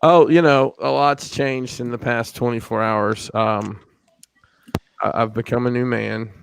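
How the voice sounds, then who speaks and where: A man's voice: medium pitch, steady tone, unhurried, gravelly, background noise. A man co-hosting a podcast, telling rambling stories with crude jokes in casual chat. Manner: joking, rambling, crude humor. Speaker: podcast co-host.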